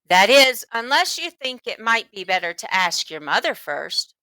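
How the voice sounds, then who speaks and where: A woman's voice: fairly high pitch, sing-song, moderate pace, sharp tone, no room sound, exaggerated voice, poor audio. A woman voicing a hearty, coaxing male candy storekeeper for a children's storybook, with an emphatic, theatrical salesman's delivery. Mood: sly. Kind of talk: children's storybook read-aloud.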